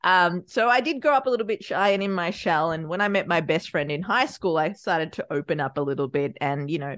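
Low-voiced chatty woman podcast guest. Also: dry recording, clean studio sound. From Sri Lanka, raised in Australia. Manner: chatty, expressive, acts out quotes. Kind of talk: casual interview chat.

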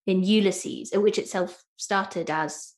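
A woman's voice: medium pitch, takes it slowly, a little mellow. A woman hosting an interview, asking long questions with hesitant, stammering repetitions. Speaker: interviewer host. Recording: echoey room.

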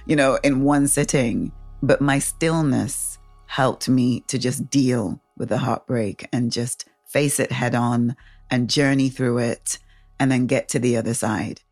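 Very low-voiced woman storyteller. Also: steady tone, unhurried, a little mellow, soft background music. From England, raised in the Caribbean. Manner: candid, laughs often, acts out quoted voices. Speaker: guest sharing her story. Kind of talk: personal storytelling.

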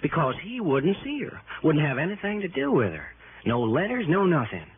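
A man's voice: a little high, wildly swooping pitch, normal speed, breathy edge, exaggerated voice. An actor playing an earnest, worried man in a 1960s radio drama, speaking emphatically and urgently. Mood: insistent. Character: earnest, worried male associate of a detective. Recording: muffled old radio recording, faint noise.

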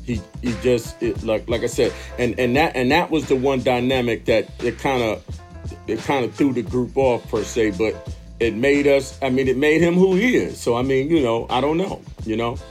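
A man's voice: mid-range voice, moderate pace. Older-ish guy musician telling stories in an interview, repeats himself for emphasis, music under him. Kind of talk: reminiscing interview talk. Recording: music playing behind the voice.

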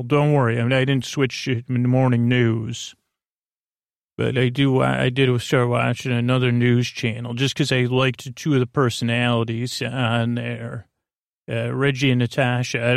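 Creaky-voiced man rambling, very dry close sound. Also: medium pitch, no echo. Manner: meandering, hesitant, keeps losing his thread and doubling back. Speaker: podcast host. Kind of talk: rambling bedtime monologue.

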